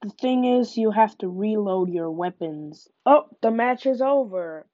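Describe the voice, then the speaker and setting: Slow-talking young woman with a cold. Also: mid-range voice, animated, no echo, lo-fi sound. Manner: chatty, narrates each move. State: nasal from a cold. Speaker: gaming podcaster. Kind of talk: live gameplay commentary.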